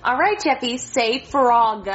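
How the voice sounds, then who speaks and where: A woman's voice: fairly high pitch, takes it slowly, reverberant, muffled recording, soft background music. A woman playing an encouraging mother in a comedy skit, coaxing her child along. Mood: firm.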